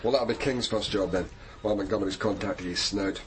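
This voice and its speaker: Actor as businesslike senior detective. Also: male voice, on the low side, fast talker, heavy background noise, natural voice. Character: senior male police detective, brisk, courteous boss. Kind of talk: naturalistic radio drama acting.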